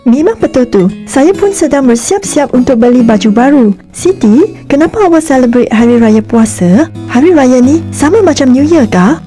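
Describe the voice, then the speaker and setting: A woman's voice: high-pitched, animated, moderate pace, hardly any pauses, warm tone, faint music behind. A woman voicing a friendly, curious young girl in a cartoon, polite and inquisitive. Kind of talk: cartoon voice acting.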